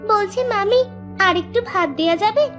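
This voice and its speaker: A woman's voice: very high voice, normal speed, a bit bright, faint music behind. A woman voicing an eager, greedy young village niece in a cartoon, in a gushing, childlike manner. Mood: eager, hungry. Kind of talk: amateur cartoon dubbing.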